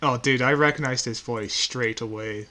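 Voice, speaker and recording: A man's voice: medium pitch, normal speed, bright, forceful tone, slight background noise. A man co-hosting a podcast, chatting casually and informally. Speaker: podcast co-host.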